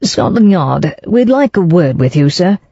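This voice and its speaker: A reader doing a calm police inspector. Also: mid-range voice, a little slow, creaky, no room sound, exaggerated voice. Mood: measured. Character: steady, concerned police chief inspector, man. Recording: dull lo-fi, dry.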